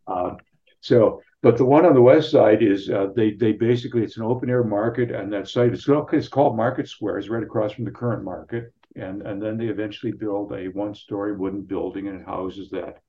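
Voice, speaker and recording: An American man, a history professor, explaining informally in a halting, digressive way on slightly muffled audio. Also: lowish voice. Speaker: history professor, radio guest.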